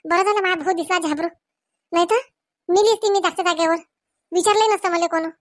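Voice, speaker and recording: A woman's voice: extremely high pitch, steady tone, quick pace, no room sound, clean studio sound. A woman voicing a caring but bossy village aunt in a comedy cartoon, advising and reassuring. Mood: earnest.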